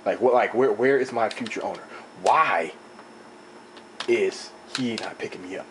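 A young man with a slightly raspy voice, rambling and animated as he talks through an unboxing on his own channel, recorded indoors on a camera mic. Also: lowish voice, normal speed, a little hiss or hum. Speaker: video host. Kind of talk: casual unboxing talk. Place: indoor room, table top.

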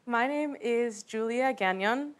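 A woman's voice: on the high side, slow. A young woman from Maine chatting informally as a contestant in a talent show audition interview. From Maine, United States. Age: young adult. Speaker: singing contestant. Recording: music behind TV audition talk.

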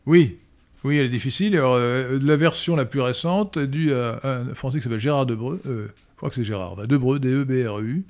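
An older man answering listener questions in a professorial, hesitant manner with frequent pauses and restarts, recorded on a stand mic in a study. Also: medium pitch, a little mellow. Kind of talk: lecturing Q&A answers. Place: study room indoors. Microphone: studio mic on stand.

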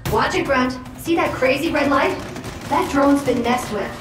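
An actress playing a cocky, bossy drone companion in a video game, brisk and plucky. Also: female voice, sits high, reverberant, distant mic, over music. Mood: wry, alert. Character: plucky female drone companion, bossy former insurgent agent.

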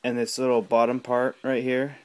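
A man giving a casual, unscripted gadget demonstration at home, talking in a halting, rambling way. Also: medium pitch, monotone. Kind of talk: casual unscripted product demo. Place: small room at home. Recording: clear background noise with clicks.

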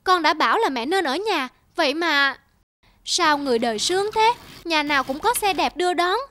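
A woman's voice: high voice, lively intonation, normal speed, slight background noise. A woman voicing an anxious, ashamed schoolboy in a cartoon, hesitant and plaintive. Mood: sulky. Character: anxious, ashamed schoolboy son, sulky and tearful. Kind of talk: cartoon dubbing. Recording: loud music behind the voice. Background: music.

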